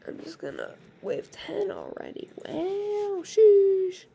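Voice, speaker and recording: A woman with a raspy, hoarse voice giving rambling, casual commentary while playing a game. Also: extremely high pitch, huge pitch swings, takes it slowly, dark tone, a little hiss or hum. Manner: rambling, chatty, full of fillers. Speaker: gaming channel host.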